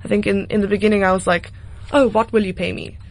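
A woman's voice: mid-range voice, fairly even intonation, quick pace, rough recording. A South African woman in her twenties talking casually and informally as an interview guest. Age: 20s. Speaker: singer-songwriter guest.

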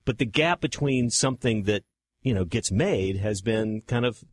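A man hosting a casual interview, chatty and quick to laugh, asking long winding questions. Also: lowish voice, a little hiss or hum. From Texas. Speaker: podcast host.

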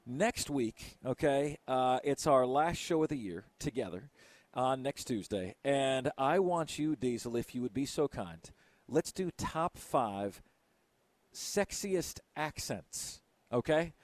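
A man hosting a sports radio show in casual banter, excitable and prone to loud laughs and silly voices. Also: mid-range voice, slow pace, dry recording.

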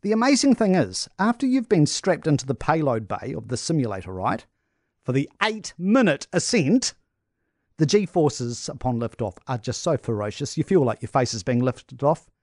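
A New man telling a travel story on breakfast radio with animated enthusiasm. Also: on the high side, normal speed, dry recording, clean studio sound. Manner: animated storytelling, talks up attractions. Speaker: travel correspondent.

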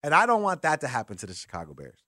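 A man's voice: a little high, rapid-fire, no room sound, studio quality. A man hosting sports radio, chatting casually and telling stories with acted-out dialogue. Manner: animated storytelling with acted-out dialogue. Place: radio studio.

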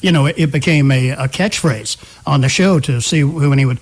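A man's voice: medium pitch, brisk, breathy edge. A man hosting a live talk radio show, chatting conversationally on a close studio microphone.

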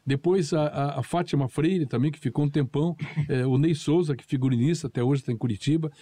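Older male guest from Paraná, mellow tone, dry close sound, lively anecdote teller. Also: on the high side, brisk, no room sound. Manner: storytelling, acts out quoted dialogue. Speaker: guest, former TV show producer. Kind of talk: anecdotal recollection.

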